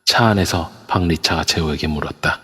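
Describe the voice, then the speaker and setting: A man narrating an audio drama in a steady storytelling way. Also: a bass voice, brisk, husky. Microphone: phone in an online voice room. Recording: long reverb on a voice chat app.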